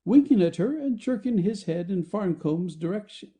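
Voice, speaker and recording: A male narrator reads scripted stage directions for an audio play. His voice is very soft-edged and dark in tone, yet sits a little high for a man. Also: lively intonation, normal speed.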